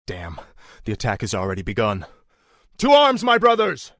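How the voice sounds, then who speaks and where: A bold, hot-headed young prince from a fantasy game. His voice is low and swings dramatically in pitch, recorded close and dry. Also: huge pitch swings, moderate pace, a bit bright, no echo, full character voice. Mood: fierce. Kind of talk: video game voice acting.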